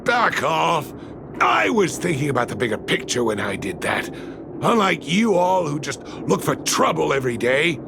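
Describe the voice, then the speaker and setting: Kindly game miner dad, creaky voice, swooping intonation, hesitant, placating. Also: male voice, a little high, big pitch swings, moderate pace, background noise. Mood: defensive. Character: weary, kindly male miner and protective father. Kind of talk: animated video game voice acting.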